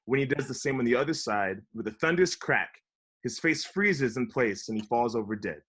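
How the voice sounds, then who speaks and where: A man's voice: mid-range voice, expressive, brisk, fairly bright tone, slightly rough. A man narrating the script at a casual table read and chatting with the group. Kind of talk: reading screen directions, then casual chat.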